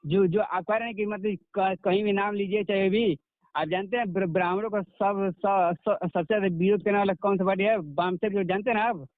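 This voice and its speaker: A man with a Bihari accent in a heated phone argument, halting and stammering over repeated words as he pushes his point. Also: high-pitched, steady tone, dry recording. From Bihar, India. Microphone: telephone. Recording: narrowband recorded phone call.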